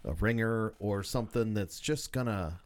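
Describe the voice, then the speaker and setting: A man's voice: lowish voice, moderate pace. A man hosting a radio show, chatting casually and rambling with many fillers.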